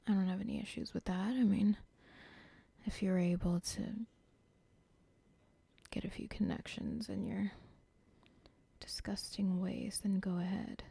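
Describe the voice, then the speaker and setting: A woman's voice: medium pitch, little pitch movement, measured pace, vocal fry, long pauses, dark tone, slightly rough, no echo. A woman whispering an ASMR roleplay as a cold, controlling female cartel boss who talks in a menacing, dismissive way. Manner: whispering throughout.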